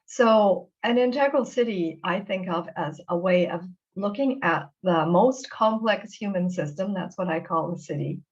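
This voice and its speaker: A woman hosts an interview over a video call, her voice sitting on the low side and sounding keen and earnest. She sometimes stumbles and restarts as she talks. The call audio is muffled and lo-fi. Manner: enthusiastic, with frequent restarts and fillers. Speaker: interview host. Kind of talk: warm conversational interview.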